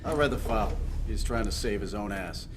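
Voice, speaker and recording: An actor playing a sarcastic, fast-talking interrogator. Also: male voice, on the low side, background noise, close to an everyday voice. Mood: hostile. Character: aggressive male interrogator, sarcastic and hostile.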